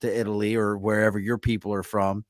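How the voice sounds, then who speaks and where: A man's voice: lowish voice, fast talker, no echo. Casting: male podcast host, Baltimore accent, joking banter, dry close-miked sound. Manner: jokey, animated, breaks into mock voices. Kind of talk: casual interview chat.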